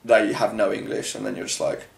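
Effusive guy in a casual interview, lots of vocal fry, echoey room. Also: on the low side, little pitch movement, fairly quick. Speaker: interview guest, children's entertainer. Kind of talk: casual chat. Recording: roomy, echoing room.

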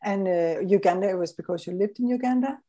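A middle-aged woman interviewing a guest over a video call, asking friendly questions in a halting way with fillers. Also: medium pitch, warm tone. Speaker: interviewer, host. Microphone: video call webcam.

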